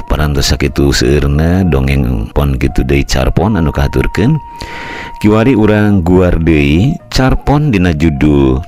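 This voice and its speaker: A man's voice: a bass voice, a little mellow. A man with a Sundanese accent narrating a scripted folk tale as a traditional storyteller.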